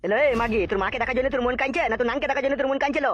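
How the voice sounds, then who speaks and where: A man's voice: very high-pitched, steady tone, racing, fairly bright tone, slightly rough, no echo, muffled recording, heavily acted. A man playing a hot-headed, crude young married son in a comic cartoon, argumentative and cursing. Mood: angry, bossy.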